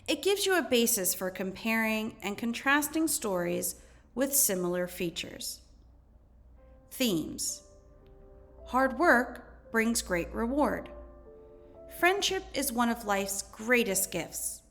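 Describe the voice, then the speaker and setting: A woman with a slightly high-pitched voice reads lesson material aloud as a teacher would. Her delivery is measured and instructional. Music plays behind her narration. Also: big pitch swings, a bit bright. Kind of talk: educational read-aloud narration.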